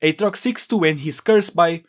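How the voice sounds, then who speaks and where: A man's voice: a little high, moderate pace, slight background noise. A man presenting game lore in conversational scripted narration, explaining things with informal asides.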